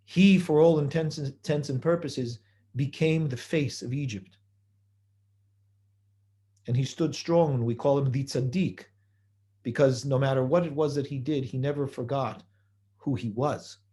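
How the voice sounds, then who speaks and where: Male speaker, mellow voice, measured sermon delivery with rhetorical questions. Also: medium pitch. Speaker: rabbi giving a holiday talk.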